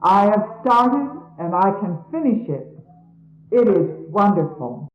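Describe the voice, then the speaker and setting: A woman reading religious messages like a sermon, solemn, measured and declamatory, in a 1970s archive recording. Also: mid-range voice, sing-song, echoey room, muffled recording. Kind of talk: scripted scripture reading, sermon-like.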